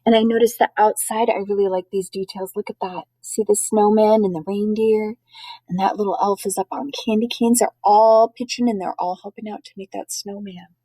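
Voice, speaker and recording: A woman's voice: medium pitch, steady tone, normal speed, warm tone, slightly rough, no echo, rough recording. A woman teacher reading a children's storybook aloud, expressively, with chatty asides that explain things simply to young listeners. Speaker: schoolteacher.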